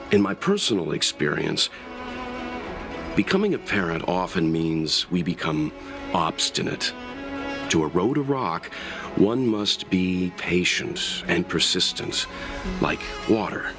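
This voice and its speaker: Calm scholarly gentleman, very low voice, polite, deliberate, patient, game dialogue with music behind. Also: exaggerated voice. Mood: thoughtful, tactful. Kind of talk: video game voice acting. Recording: background music under the voice.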